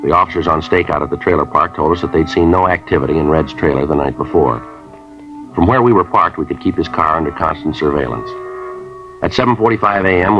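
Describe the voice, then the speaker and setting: A terse, methodical police sergeant, as an actor plays him in an old radio crime drama, clipping out facts in a very deep voice and at a brisk pace. The broadcast is dull, with faint music underneath. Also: male voice, natural voice. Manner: flat, matter-of-fact, clipped. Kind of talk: clipped 1950s radio police procedural narration.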